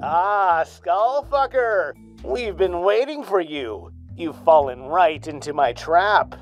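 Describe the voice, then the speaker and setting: A man putting on a smug, gloating arch-villain from a vintage radio serial, drawing out his words with theatrical menace. The voice rides high, with faint music beneath. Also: lively intonation, slow pace.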